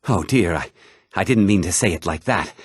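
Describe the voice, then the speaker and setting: A haughty townsman from a fantasy video game, condescending and pompous. The voice is husky and a little low, swinging widely in pitch as he delivers his dismissive lines. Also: expressive, normal speed, no echo, clean studio sound. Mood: dry, aloof.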